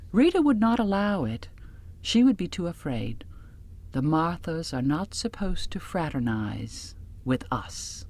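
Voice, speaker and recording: Low-voiced woman narrating a literary audiobook. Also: a little mellow. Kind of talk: scripted literary narration in first person.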